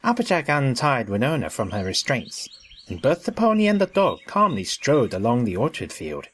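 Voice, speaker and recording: A man's voice: medium pitch, huge pitch swings, normal speed, a bit bright, slight background noise. A man narrating a scripted story in a storybook style.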